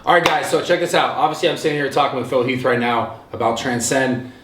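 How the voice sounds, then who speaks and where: A man's voice: medium pitch, steady tone, fast, a bit bright. Earnest man's ad read in a roomy space. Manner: earnest, urging listeners directly. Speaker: podcast host reading an ad. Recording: roomy sound, mic at a distance.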